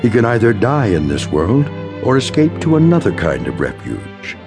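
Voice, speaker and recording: A man narrating a radio drama in a measured, ominous storyteller's manner. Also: lowish voice, wildly swooping pitch, music in the background. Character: ominous, all-knowing male narrator.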